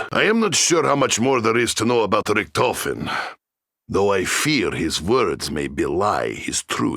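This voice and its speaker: An actor playing a gruff, boastful, world-weary Russian soldier in a video game, with a thick Russian accent, a rough voice and broken English that drops its articles. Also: male voice, low voice, sharp tone, dry recording, clean studio sound, full character voice. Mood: grave, wary. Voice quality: gravelly, rough. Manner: broken English, dropping articles, speaking of himself by name. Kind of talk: video game character voice lines.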